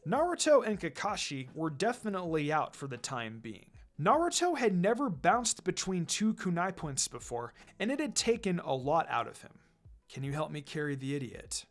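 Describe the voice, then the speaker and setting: A man's voice: high voice, big pitch swings, normal speed, hardly any pauses. A man reading fan fiction aloud as a dramatic scripted narration. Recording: music under the voice. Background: background music.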